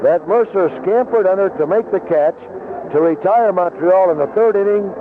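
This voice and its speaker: Guy doing baseball play-by-play on old 70s radio, tinny and noisy, slightly high voice, clipped phrases. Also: normal speed. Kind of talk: live sports commentary. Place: outdoor ballpark broadcast booth. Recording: noisy narrow-band 1970s AM radio broadcast.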